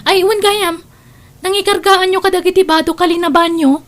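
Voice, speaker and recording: A high, girlish voice suits this young woman played by an actress. She is an affectionate, dutiful daughter in a family audio drama, speaking with open feeling. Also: steady tone, brisk, a bit bright. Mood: cheerful. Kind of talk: audio radio-style drama acting.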